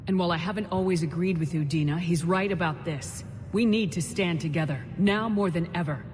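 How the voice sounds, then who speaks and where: A woman's voice: lowish voice, brisk, darkish tone, slight background noise, natural voice. An actress playing a resolute female military commander in a game, speaking firmly and earnestly. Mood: urgent, resolute. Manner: firm, earnest. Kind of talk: dramatic game dialogue. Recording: reverberant hall sound, music behind.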